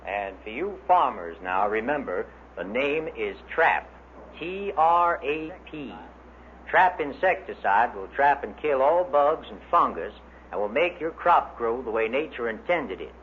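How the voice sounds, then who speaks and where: A man's voice: medium pitch, animated, measured pace. An American man reading a script as a 1950s radio announcer. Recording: dull noisy 1950s radio recording.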